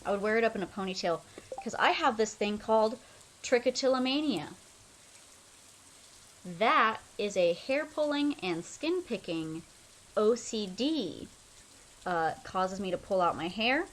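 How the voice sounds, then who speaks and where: A woman's voice: medium pitch, animated, unhurried, long pauses, fairly bright tone, poor audio. A young woman streaming a game, chatting casually in a loose, rambling way full of fillers. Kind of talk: casual chat while playing a game.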